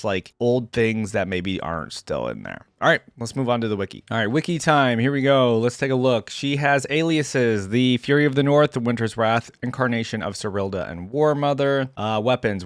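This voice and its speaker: Man, medium pitch, casual opinionated fan commentary, reading aloud, reaction host, close dry mic. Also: smooth, no room sound. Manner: informal, opinionated fan talk.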